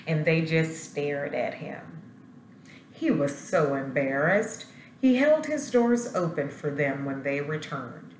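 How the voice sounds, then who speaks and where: A woman principal reading a storybook aloud to young children, expressive and speaking to them directly. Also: low voice. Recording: roomy echo, light background noise.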